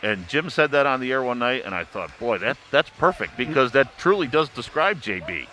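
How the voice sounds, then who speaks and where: Male sportscaster, mid-pitched and bright, emphatic folksy talker, light background noise. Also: very animated, brisk, rough recording. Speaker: radio sports broadcaster on a panel. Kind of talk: casual sports broadcast chat.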